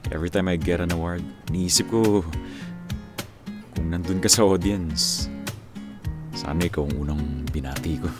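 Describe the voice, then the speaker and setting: A man acting in a Filipino radio drama as a famous action star, sentimental and devoted to his former screen partner, speaking in a sincere, halting way. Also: low voice, natural voice. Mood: wistful. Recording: music behind the voice.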